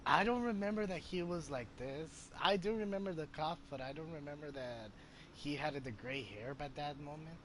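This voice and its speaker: A man's voice: a little high, normal speed, noisy background. A man giving casual commentary as he plays a video game. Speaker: gamer playing and commenting. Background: game music.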